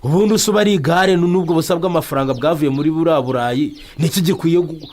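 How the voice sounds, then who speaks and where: A man's voice: fairly high pitch, racing, crowd noise, natural voice. A Rwandan man playing an irritable, argumentative, hard-drinking husband in a radio drama. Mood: angry. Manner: exasperated, argumentative. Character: irritable hard-drinking husband who lost his bicycle.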